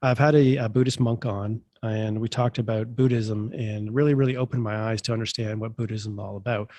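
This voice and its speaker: A man's voice: on the low side, steady tone, fairly quick, darkish tone, no echo. A man hosting a podcast, chatty and enthusiastic with lots of fillers, sounding a bit congested from allergies. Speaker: podcast host. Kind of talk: casual interview.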